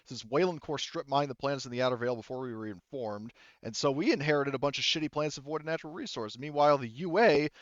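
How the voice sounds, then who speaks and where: A man's voice: mid-range voice, fast talker, hardly any pauses, dry recording, muffled recording, natural voice. A man voicing an aggrieved officer in a tabletop game who rants earnestly and indignantly in defense of his starving people. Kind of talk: in-character tabletop roleplay speech.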